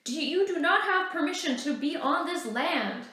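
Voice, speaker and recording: A resolute male leader of a resistance, read by a woman, courteous yet firm in a storybook tale. The phrasing is formal, the pitch fairly high, and the room very echoey. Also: animated, normal speed, bright, forceful tone. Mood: challenging.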